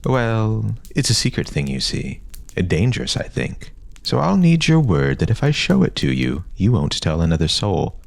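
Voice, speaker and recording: Male narrator as bossy older boy, pompous, formal, insistent, pitched up from a very deep voice, fantasy storybook. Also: very animated, normal speed, gravelly, no room sound. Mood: secretive, boastful. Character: bossy, grandiose older boy pushing oaths on friends.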